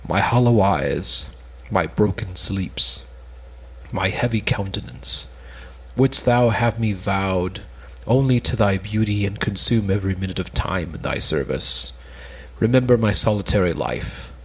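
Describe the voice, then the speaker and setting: A man's voice: on the low side, creaky, a bit bright, husky, exaggerated voice, low-quality recording. A man playing a lovesick, melancholy suitor, earnestly declaiming in an amateur cold reading of an old stage play. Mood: yearning, sorrowful.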